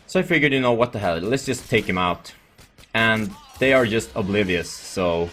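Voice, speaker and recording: A man's voice: lowish voice, animated, normal speed, fairly bright tone, faint music behind. A man from Sweden with a Swedish accent gives casual, rambling and jokey commentary over gameplay. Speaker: gaming video creator.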